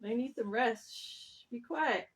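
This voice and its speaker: A motherly storybook cow, played by a woman, fairly high in pitch. She hushes and chides like the one keeping order in the barn. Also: normal speed, breathy edge. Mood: chiding. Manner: hushing, chiding.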